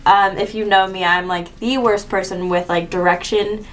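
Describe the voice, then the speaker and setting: A young woman from Canada chatting excitedly in a casual vlog, full of "like" and quick asides. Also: mid-range voice, a little hiss or hum. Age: young adult. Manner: bubbly, fillers with like. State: stuffed up from a cold. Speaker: vlogger.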